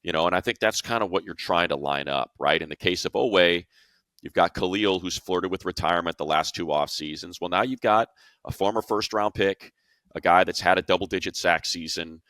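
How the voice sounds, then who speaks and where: A man's voice: sits low, brisk, fairly bright tone, no room sound. An American man giving casual sports analysis as a co-host, thinking aloud conversationally and checking in as he goes.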